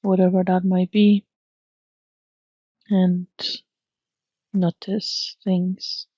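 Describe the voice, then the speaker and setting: A woman guides a mindfulness meditation, speaking very slowly and leaving long pauses between phrases. Her pitch stays flat and even as she gently instructs. The recording is dry and slightly dull. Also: no room sound.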